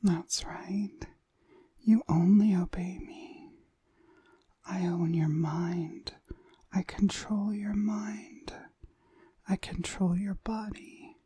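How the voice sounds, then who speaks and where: A woman playing a dominant, seductive witch mistress in a whispered ASMR hypnosis roleplay, breathy, soothing and commanding. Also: medium pitch, steady tone, extremely slow, pauses often, warm tone, no room sound, exaggerated voice.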